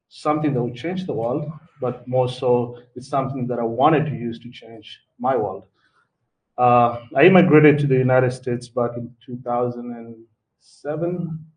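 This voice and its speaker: A man's voice: medium pitch, highs cut off. Kenyan-born man telling his story from afar. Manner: relaxed, halting with fillers and repeats. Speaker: guest speaker, entrepreneur. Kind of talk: personal story at a live talk. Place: event hall before an audience. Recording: distant mic with faint background noise.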